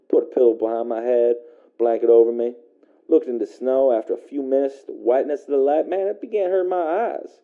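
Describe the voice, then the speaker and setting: Actor as a gravelly, tinny radio caller, male. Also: medium pitch. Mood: weary, wry, then slow, absorbed. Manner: folksy, colloquial, halting, hesitant delivery. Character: weary working-class man recounting an eerie slip between worlds. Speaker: caller on a fictional radio call-in show. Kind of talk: first-person storytelling monologue, audio drama. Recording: narrow tinny phone-like radio sound.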